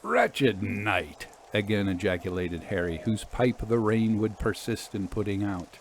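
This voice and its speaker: A man reading scripted narration as a storytelling host, expressive and deliberate. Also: fairly low pitch, a little hiss or hum.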